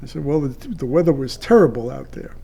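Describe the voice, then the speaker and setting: An older man, a retired air traffic controller, telling a personal story off the cuff in a halting way with drawn-out pauses. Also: mid-range voice, expressive, creaky, warm tone, slightly rough.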